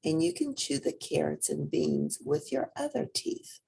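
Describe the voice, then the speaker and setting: A woman's voice: sits high, big pitch swings, unhurried, vocal fry, dark tone, a little hiss or hum, voice pushed up, heavily acted, low-quality recording. A woman reading a storybook as a patient mother rabbit who explains things reassuringly.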